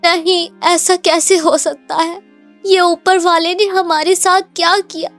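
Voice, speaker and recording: A woman's voice: extremely high pitch, flat delivery, slow pace, fairly bright tone, soft background music, exaggerated voice. A woman voicing an elderly, anxious mother-in-law in a cartoon, plaintive and dramatic. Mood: grieving.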